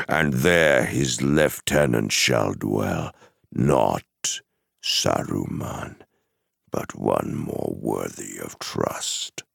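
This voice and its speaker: A man voicing a haughty, menacing messenger of a dark lord in a fantasy story, slow and imperious, with a gravelly rasp. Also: very deep voice, dry recording, studio quality, pitched below the performer's own voice, heavily acted.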